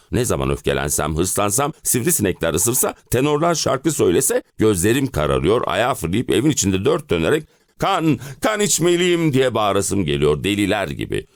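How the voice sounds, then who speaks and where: A man's voice: low-pitched, vocal fry, no room sound, clean studio sound, full character voice. A male actor playing an exasperated, self-pitying middle-aged husband in a theatrical monologue, with melodramatic, comic complaining. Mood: desperate, agitated. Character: exasperated, hen-pecked middle-aged husband ranting about summer-house misery. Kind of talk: theatrical one-man play monologue.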